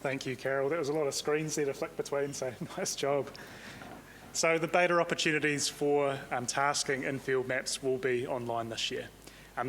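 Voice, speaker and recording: A man with a New Zealand accent hosting conference sessions, speaking in a relaxed way with frequent ums. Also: medium pitch. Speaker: conference host. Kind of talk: casual presentation. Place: conference hall. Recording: long hall reverb, faint hum.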